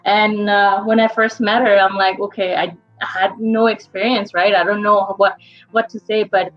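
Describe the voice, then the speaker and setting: A young woman from Bangladesh telling her story with hesitant, halting phrasing. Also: mid-range voice, flat delivery, faint music behind. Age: young adult. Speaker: interview guest. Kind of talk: casual personal storytelling. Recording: dull lo-fi audio.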